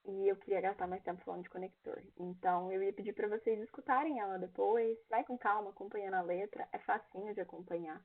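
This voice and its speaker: A woman's voice: mid-range voice, fast talker, hardly any pauses, breathy edge. A young woman with a Brazilian Portuguese accent teaching an English exam review class, reading lines in English and explaining them. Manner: reads English lyrics aloud, then translates and explains each line. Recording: tinny phone-like online class audio.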